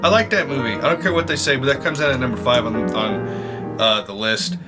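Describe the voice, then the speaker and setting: A man's voice: medium pitch, brisk, over loud music. A young man giving casual reaction commentary in an informal, slangy, exclaiming way as a video host.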